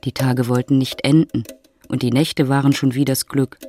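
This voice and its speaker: A man's voice: mid-range voice, flat delivery, moderate pace, warm tone, soft background music. A man narrating a literary radio drama in a scripted storytelling style.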